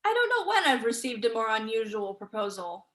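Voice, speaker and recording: A young woman student actor playing a sharp, unsentimental, sceptical scholar in a staged play reading. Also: on the high side, sing-song, a little fast. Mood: cool, teasing. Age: young adult.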